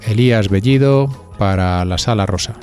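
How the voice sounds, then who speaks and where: Man, Spain accent, lowish pitch, podcast host, scripted narration, rhetorical questions, faint music behind. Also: normal speed. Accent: Spanish from Spain.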